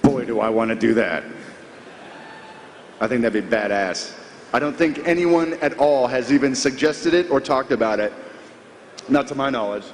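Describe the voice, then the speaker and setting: A man speaks casually on a panel with lively, swinging intonation. The recording has long hall reverb and faint background noise. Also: mid-range voice, normal speed, long pauses. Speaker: convention panelist. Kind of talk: casual unscripted panel talk.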